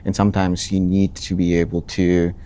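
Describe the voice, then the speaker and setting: A young man from Nepal, answering interview questions in a relaxed way, often restarting phrases with ums. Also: deep voice, fairly quick, slight background noise. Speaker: interviewee, account manager.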